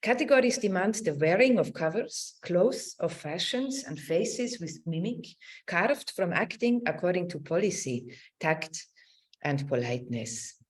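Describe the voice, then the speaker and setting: Low-pitched woman lecturing haltingly. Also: expressive. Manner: halting, searching delivery with repeated words. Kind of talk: academic lecture with slides and read quotations. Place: indoors on a video call with screen sharing.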